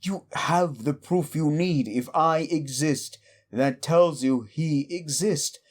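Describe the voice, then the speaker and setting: A man's voice: a little high, slow pace. A man playing a scornful, exasperated demon. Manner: swings from hushed menace to exasperated ranting. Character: scornful lawful-evil male demon, bitter and mocking. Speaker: voice actor in an audio roleplay. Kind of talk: audio roleplay addressed to the listener.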